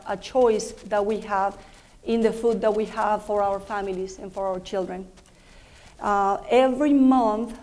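Woman, Mexican accent, panel speaker, measured delivery, uh fillers. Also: mid-range voice, a little mellow. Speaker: industry panelist. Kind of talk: prepared panel remarks.

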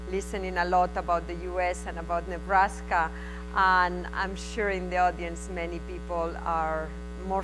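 A woman's voice: fairly low pitch, low-quality recording. Spanish-accented woman giving a conference talk. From Spain. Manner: frank, conversational, speaks in short bursts. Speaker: invited conference speaker. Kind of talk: conference presentation. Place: conference hall.

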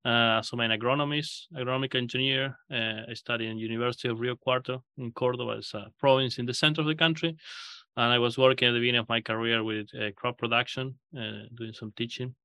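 Man from Argentina, podcast guest, hesitant with lots of "uh"s, bright tone, dry close sound. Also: medium pitch, fairly even intonation, clear, resonant tone, no echo. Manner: halting, frequent "uh" fillers. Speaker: guest researcher. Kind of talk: podcast interview.

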